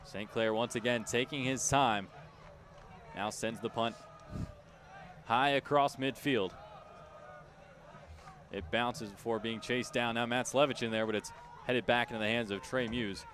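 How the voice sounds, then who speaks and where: A man's voice: medium pitch, steady tone, a little slow, pauses often, fairly bright tone, a little hiss or hum. A man doing live radio play-by-play of a soccer match, describing each touch in clipped, urgent, emphatic phrases. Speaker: play-by-play announcer.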